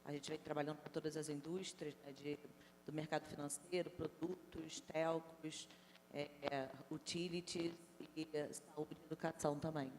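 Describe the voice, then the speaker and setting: A woman director introducing her company on a panel, measured and explanatory. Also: sits low, little pitch movement, darkish tone. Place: conference stage in a large hall. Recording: hall reverb with faint hum.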